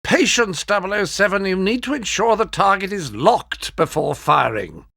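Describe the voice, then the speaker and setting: A fussy gadget expert, nagging a spy in a video game. The voice is high for a man and bright, prim and dryly sarcastic, in a close, dry recording. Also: animated, moderate pace, creaky, no echo, full character voice. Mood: nagging caution. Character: fussy, exasperated male gadget master advising a spy. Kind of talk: scripted video game voice acting.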